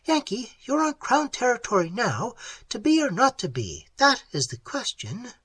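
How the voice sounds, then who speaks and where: A man's voice: big pitch swings, moderate pace, fairly bright tone, no echo, heavily acted. A man voicing a frightened young woman explorer. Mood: tense. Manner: tense, high, breathy whispering and pleading.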